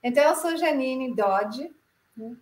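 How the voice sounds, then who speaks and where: A woman from Canada talks at a measured pace in a slightly high voice, with a Canadian English accent. She is presenting in her second language and sometimes restarts a phrase. The room around her sounds echoey. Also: animated. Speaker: director of a play advocacy association. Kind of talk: presentation talk to camera.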